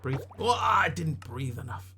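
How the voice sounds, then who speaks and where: A man's voice: fairly high pitch, animated, unhurried, gravelly, pushed and bright, slight background noise. A man from New Zealand with a New Zealand accent, streaming a game live and chatting casually with his viewers as he reads out their messages. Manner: chatty, reads out chat and answers it. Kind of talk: casual live gaming chat.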